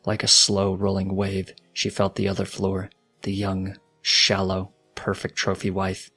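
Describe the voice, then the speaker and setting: A man reading fiction aloud as a dramatic, solemn narrator with a deliberate delivery. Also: low voice, completely flat delivery, sharp tone, slightly rough, faint music behind. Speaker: fanfiction narrator.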